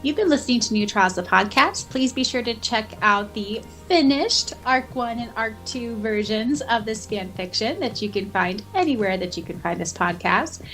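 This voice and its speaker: Higher-voiced woman host over music. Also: expressive, moderate pace, hardly any pauses, a bit bright, poor audio. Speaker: podcast host. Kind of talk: podcast outro announcement, informal.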